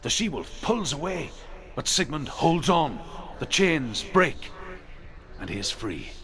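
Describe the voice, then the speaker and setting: A man playing a grave storyteller who recounts Norse legends in a video game with solemn, deliberate, dramatic delivery. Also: a little high, very animated, a bit bright, full character voice. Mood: grave, intense.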